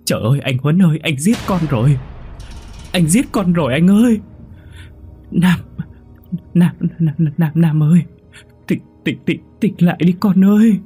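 A man's voice: on the high side, lively intonation, measured pace, pauses often, warm tone, husky, faint music behind, voice pushed up. A man voicing a young mother who wails and pleads in grief over her child. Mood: wailing. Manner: wailing, pleading, sobbing cries.

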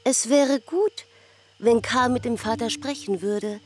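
An actress playing a concerned woman in a radio play, speaking slowly and haltingly. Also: a little high, a little mellow, husky. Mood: pensive, concerned. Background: faint music.

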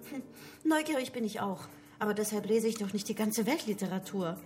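A woman's voice: mid-range voice, animated, brisk, a little mellow, faint music behind, close to an everyday voice. A woman playing a cheeky, plain-spoken detective agency secretary in a radio crime drama, pert and questioning.

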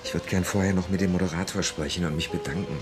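An actor playing an ambitious, irritable political climber in a radio drama, brash and impatient. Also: male voice, low-pitched, steady tone, quick pace, over music, lightly acted. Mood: nervous, snappish. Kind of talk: German radio drama acting.

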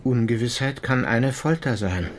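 A man's voice: mid-range voice, normal speed, lightly acted. A man playing a harried but sympathetic police investigator with a probing, businesslike manner in a German radio crime drama. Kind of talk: German radio play acting, questioning.

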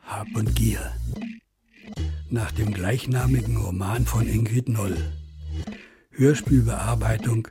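A man's voice: fairly low pitch, steady tone, takes it slowly, long pauses, loud music behind the voice. A man reading a scripted closing credits announcement for a radio play.

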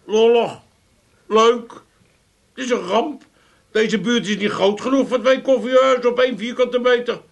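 An actor playing an aggrieved, gloomy Amsterdam café owner in a Dutch comedy radio play, lamenting and snappish. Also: male voice, extremely high pitch, steady tone, measured pace, vocal fry, long pauses, full character voice. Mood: outraged.

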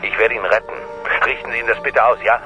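A man's voice: medium pitch, steady tone, fast talker, music in the background. A man playing a wary, polite police lieutenant colonel in a radio drama, hesitant and earnest, on the telephone. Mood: urgent, earnest. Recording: muffled phone line, faint noise.

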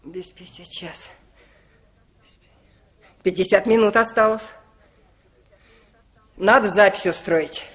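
A woman's voice: mid-range voice, slow, long pauses, a bit bright. An actress playing a fussy, anxious middle-aged village woman with folksy chatter in a radio play. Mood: fretful chatter. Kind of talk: 1960s Soviet radio play acting.

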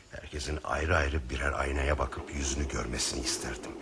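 A man's voice: extremely low pitch, fairly even intonation, moderate pace, noisy background. An actor playing a cynical, mocking, fatalistic male train passenger in a radio play, with a sardonic, philosophising manner. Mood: scornful, mocking. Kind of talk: radio theatre acting.

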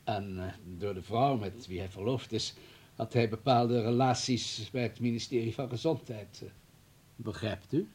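An actor in a radio play playing a fussy, deferential hospital administrator who talks in a wordy, flustered, obliging way. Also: male voice, mid-range voice, normal speed, a little mellow, slightly rough, close to an everyday voice. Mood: self-justifying. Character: fussy, deferential male hospital administrator, eager to justify himself.

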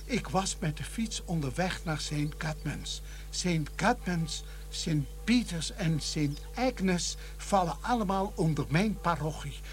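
An actor playing a fussy, scatterbrained village vicar in a Dutch radio play, hurried and dithering, often repeating himself. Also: male voice, fairly high pitch, animated, soft background music. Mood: chatty, self-important.

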